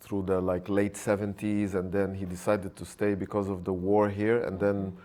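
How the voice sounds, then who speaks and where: Soft-spoken man with a lowish voice. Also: little pitch movement, moderate pace. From Lebanon. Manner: hesitant, many fillers like "like" and "uh". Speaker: podcast guest. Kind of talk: casual interview storytelling.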